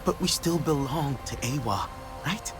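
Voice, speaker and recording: A man as an earnest young alien warrior, mid-pitched. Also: lively intonation, a little slow, music in the background, natural voice. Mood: uncertain, earnest. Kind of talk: dramatic video game acting.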